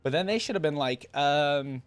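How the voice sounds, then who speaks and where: A man's voice: a little high, lively intonation, normal speed, clear, resonant tone, dry recording, soft background music. A man hosting a reaction video, reading lore aloud and adding casual, musing commentary. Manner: informal, musing asides.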